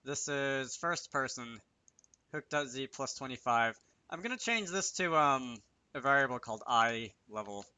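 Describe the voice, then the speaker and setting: A man's voice: medium pitch, lively intonation, moderate pace, bright, forceful tone, clear, resonant tone, no echo. A man giving casual, unscripted commentary over a screen recording, hesitant and thinking aloud as he works. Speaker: game developer hosting a tutorial series.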